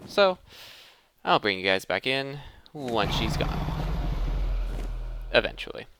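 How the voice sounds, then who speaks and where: A man's voice: mid-range voice, very animated, slow, noisy background. A man hosting a gameplay video, thinking aloud in a casual commentary addressed to his viewers. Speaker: host of a gaming let's play series.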